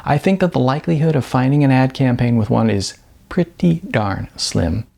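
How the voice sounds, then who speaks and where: Brash middle-aged female talent agent played by a man, wisecracking and emphatic, swooping pitch, creaky edges, audiobook dialogue. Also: lively intonation, normal speed, a little mellow. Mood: teasing, emphatic.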